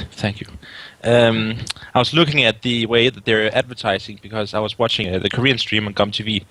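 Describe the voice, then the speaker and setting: Hesitant Danish-accented man, low voice. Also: steady tone, brisk, pushed and bright, low-quality recording. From Denmark. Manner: hesitant, searching for words. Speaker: caller asking questions.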